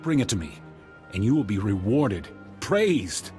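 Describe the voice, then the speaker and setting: Low-voiced, zealous guard captain. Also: male voice, very animated, a little slow, a little mellow, soft background music. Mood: zealous persuasion. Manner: insistent, persuasive. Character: scheming hard-nosed guard captain, zealous and scornful. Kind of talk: video game voice acting.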